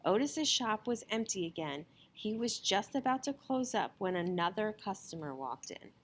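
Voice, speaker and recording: A woman reading a children's story aloud as an expressive storyteller. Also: medium pitch, moderate pace, a little hiss or hum.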